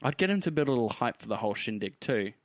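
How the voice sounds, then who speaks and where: A man's voice: mid-range voice, big pitch swings, very fast, no room sound, natural voice. Man playing a persuasive rebel professor, close and dry. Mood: persuasive, coaxing. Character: scheming rebel professor giving orders, profane, persuasive.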